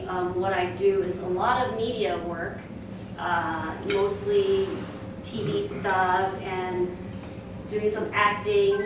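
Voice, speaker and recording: Young woman, from Singapore, chatty presenter, many fillers, distant mic, roomy echo, background noise. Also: medium pitch. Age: young adult. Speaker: speaker. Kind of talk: presentation to a club. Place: meeting room. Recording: echoey room, distant mic, noisy.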